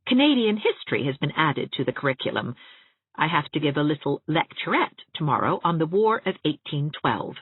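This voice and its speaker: Expressive low-voiced woman narrator, phone-like sound. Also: brisk, slightly rough, no echo. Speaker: audiobook narrator. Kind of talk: expressive scripted story narration. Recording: narrow, phone-like audiobook sound.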